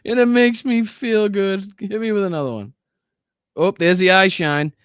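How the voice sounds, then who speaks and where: A man's voice: high-pitched, lively intonation, measured pace, a bit bright, clear, resonant tone, dry recording, clean studio sound. A man with a Boston accent hosting a livestream, commenting in a crude, profane, mocking way. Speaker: livestream host. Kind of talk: live reaction commentary.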